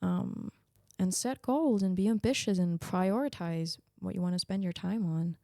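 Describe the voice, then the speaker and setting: Candid, animated young woman guest. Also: fairly low pitch, moderate pace, gravelly, dry recording, clean studio sound. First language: Danish. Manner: candid and animated, laughing often. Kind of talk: casual interview.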